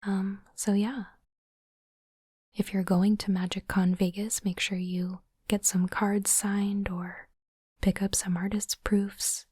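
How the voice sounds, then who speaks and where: A woman doing ASMR in a soft, breathy whisper, speaking in a hushed, deliberate way as she hosts an unboxing. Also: mid-range voice, little pitch movement, no echo, studio quality. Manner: slow, deliberate, hushed delivery. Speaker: host of ASMR card unboxing channel. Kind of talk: ASMR whispering, close and gentle narration.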